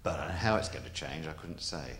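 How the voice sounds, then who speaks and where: A man's voice: very deep voice, animated, moderate pace, fairly bright tone, echoey room, a little hiss or hum. A man at a church conference raising a frank, wry question from the floor. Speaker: conference delegate.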